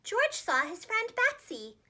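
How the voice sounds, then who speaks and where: Very high, animated woman storyteller. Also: takes it slowly. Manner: animated, expressive, carefully enunciated. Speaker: storyteller, preschool teacher. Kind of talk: children's storybook narration.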